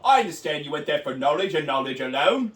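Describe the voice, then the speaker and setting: A man's voice: on the high side, sing-song, fast talker, a bit bright, echoey room, recorded from a distance. A man doing a put-on British accent as a rowdy, crude bloke in an improvised comedy bit. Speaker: podcast co-host.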